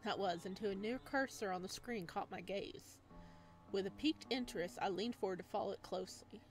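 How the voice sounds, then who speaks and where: A woman with a mid-range voice hosts a visual novel playthrough. She reads story text aloud and shifts into chatty, informal asides of her own. Music plays softly behind her. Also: medium pitch, fairly quick. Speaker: gaming video host. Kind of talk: visual novel let's play, reading text aloud with casual commentary.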